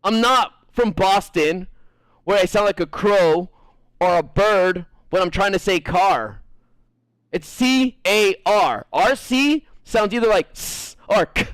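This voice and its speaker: An American man rambling to his stream audience in an animated, profane way, his high voice swinging up and down. The sound is close and dry, with no room around it. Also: slow, no echo, low-quality recording. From United States. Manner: animated, profane, laughs often. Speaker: gaming streamer. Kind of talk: casual chat while gaming.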